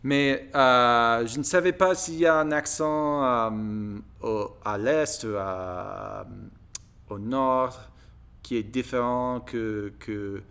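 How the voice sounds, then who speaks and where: Male vlogger, American accent, English native. Unhurried, hesitant casual delivery in a learned language, smooth tone. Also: medium pitch, a bit bright. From United States. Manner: halting, searching for words in a second language. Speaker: video blogger practising French. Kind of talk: casual monologue to viewers.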